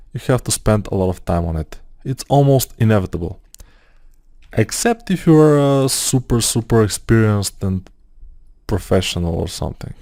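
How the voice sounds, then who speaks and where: A man with a Bulgarian accent talks through his modeling work at a computer, thinking aloud in a slow, unhurried way with plenty of "uh"s. His voice is low and mellow. The sound is dry and close, like a desk mic at a computer. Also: dry recording. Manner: thinking aloud, hesitant with fillers, non-native phrasing. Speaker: 3D modeling tutor. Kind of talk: screen-recorded software tutorial.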